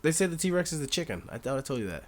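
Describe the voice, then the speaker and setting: A man's voice: fairly high pitch, very animated, very fast. A young man with a nasal voice, co-hosting a casual podcast, rambling loosely with frequent laughs and casual swearing. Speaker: podcast co-host. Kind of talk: casual chat and spoken freestyle rap.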